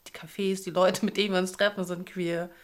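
A woman in her thirties from Berlin hosts a casual podcast. She talks in a playful, teasing way and laughs easily. Her voice sits a little low. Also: little pitch movement, fairly quick. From Berlin, Germany. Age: 30s. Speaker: podcast co-host. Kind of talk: casual chat.

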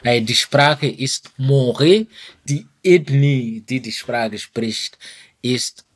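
Slow, hesitant man. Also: mid-range voice. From Burkina Faso. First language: Mooré. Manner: slow, hesitant, many "äh" fillers. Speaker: musician guest. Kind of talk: interview answers.

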